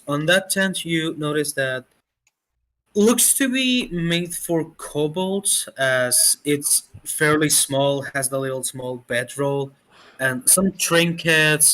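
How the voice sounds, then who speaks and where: A man narrating a tabletop game as game master, slow and halting with many fillers. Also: medium pitch, pushed and bright, poor audio.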